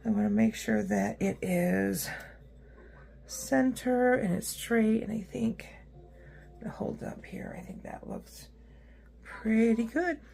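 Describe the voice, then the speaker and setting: A woman with a rough, hoarse voice hosting a casual craft how-to, chatty and upbeat with frequent ums and uhs as she works at her craft table. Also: on the high side, wildly swooping pitch, slow pace, long pauses, warm tone, whispered. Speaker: craft tutorial host.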